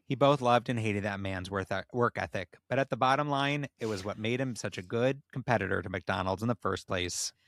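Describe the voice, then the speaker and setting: Male podcast host, lower register, dry close sound. Playful dramatic story reader who drops into chatty asides. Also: a little fast, few pauses, a bit bright, dry recording. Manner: playful, dramatic reading broken by asides and laughter. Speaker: podcast host reading fan fiction aloud. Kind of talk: comic story reading with casual banter.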